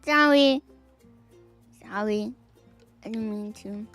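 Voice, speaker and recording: A woman's voice: medium pitch, slow pace, fairly bright tone, soft background music. A woman streamer reading viewer fanfics aloud on a live stream, playful and giggly, with teasing asides.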